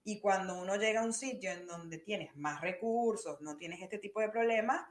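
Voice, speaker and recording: A woman's voice: medium pitch, moderate pace. Venezuelan woman chatting thoughtfully. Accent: Venezuelan Spanish. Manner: thoughtful, hesitant, with many fillers and restarts. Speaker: podcast guest. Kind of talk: conversational interview.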